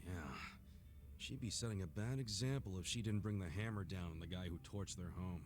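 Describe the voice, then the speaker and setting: An actor playing an earnest, blunt, big-hearted tough-guy hero in a video game, with a gruff, hearty voice. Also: deep voice, a little fast, background noise, natural voice. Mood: questioning, serious. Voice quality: gruff, gravelly. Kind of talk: video game cutscene acting.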